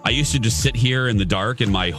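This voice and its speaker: A man hosting a radio morning show who talks loudly and theatrically, projecting his voice in easy banter. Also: on the low side, quick pace, over music. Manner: loud, projecting, theatrical talker. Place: studio.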